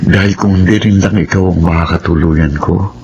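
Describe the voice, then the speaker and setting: A man's voice: sits low, fairly even intonation, fairly quick, darkish tone. A man playing a patient, devoted young suitor in a Filipino melodrama, tender and earnest. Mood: tender, pleading. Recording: dull sound with faint noise.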